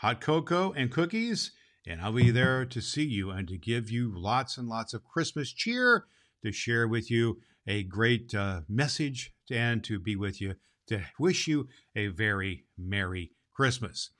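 A man's voice: mid-range voice, animated, measured pace, fairly bright tone. A man playing a jolly, grandfatherly old Santa Claus, speaking theatrically and emphatically to children as a storyteller. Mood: cheerful, friendly. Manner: theatrical, emphatic, addresses listeners as boys and girls. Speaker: storyteller and podcast host. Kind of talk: dramatic storybook reading and direct address to children.

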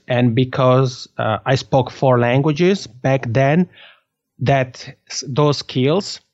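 A man from the Italian-speaking part of Switzerland with a Swiss Italian accent, a podcast guest telling his story in an animated, laughing way. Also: mid-range voice, no echo, muffled recording. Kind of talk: casual interview conversation.

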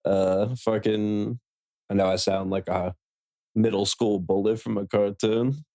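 A young man with a deep, loud, cartoonish voice, blunt in casual chat. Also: steady tone, a little slow.

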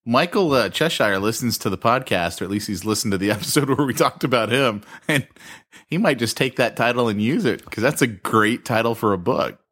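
A man co-hosting a podcast, telling stories in animated casual banter and laughing as he talks. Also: medium pitch, fairly quick. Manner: animated storyteller, laughs while talking. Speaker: podcast co-host.

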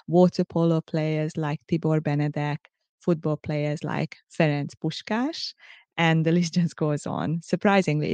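Gravelly middle-aged woman with Hungarian accent. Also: deep voice, darkish tone, no room sound. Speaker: podcast host. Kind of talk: solo podcast monologue, semi-scripted.

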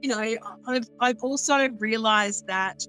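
Brief: woman astrologer guest, high smooth voice, chatty and unfiltered, cackling laugh, faint music under. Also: a little slow, bright, forceful tone. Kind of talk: casual interview chat.

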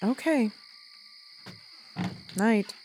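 A woman's voice: on the high side, lively intonation, extremely slow, noisy background. An actress playing an exasperated, wounded woman in an audio drama, blunt and defensive. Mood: weary, curt.

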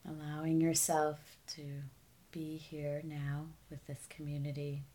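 A woman leading a guided meditation as a teacher, speaking in a calm, soothing, unhurried way with softly trailing phrase endings. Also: deep voice.

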